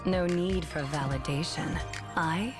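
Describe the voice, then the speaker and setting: Low, unhurried, self-assured woman, acted by a woman. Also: lightly acted. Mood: cool, assured. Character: self-assured, free-spirited woman, cool and poised. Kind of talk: game trailer monologue. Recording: replayed trailer with music behind.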